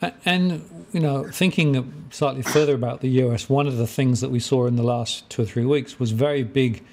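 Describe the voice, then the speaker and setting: A man's voice: medium pitch, moderate pace, creaky, darkish tone, a little hiss or hum. A man hosting a conversational interview, putting observations to his guest. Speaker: interviewer, host.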